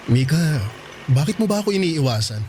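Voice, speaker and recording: High-voiced, insistent young Filipino suitor. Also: male voice, very animated, brisk, a little hiss or hum. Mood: wounded, pleading. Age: young adult. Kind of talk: Filipino radio drama acting. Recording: loud music under the voice.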